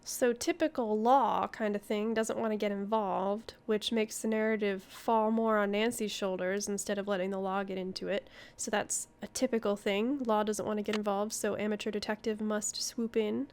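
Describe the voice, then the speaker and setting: A woman's voice: mid-range voice, little pitch movement, moderate pace, few pauses, a little mellow, clear, resonant tone. A woman giving casual, chatty playthrough commentary as a gaming host, reacting aloud with interjections.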